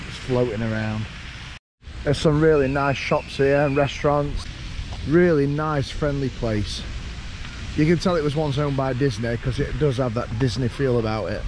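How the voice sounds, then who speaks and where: Chatty man with a mellow voice. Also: mid-range voice, a little hiss or hum, low-quality recording. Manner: relaxed, chatty, pointing things out. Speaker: vlogger. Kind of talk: casual walking tour commentary. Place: outdoors walking around a lakeside town.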